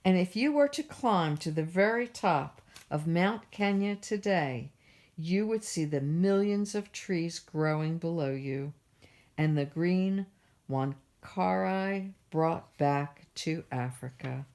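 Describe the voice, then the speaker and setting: Slow, low-voiced woman reading a storybook. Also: lively intonation, darkish tone. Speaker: library storytime reader. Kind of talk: children's picture book read-aloud.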